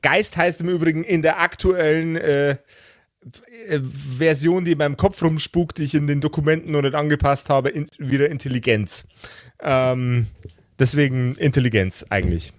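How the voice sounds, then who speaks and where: A man with a fairly high-pitched voice serves as game master. He explains rules in a casual, conversational way, with a dry, room-free sound. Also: moderate pace, no echo.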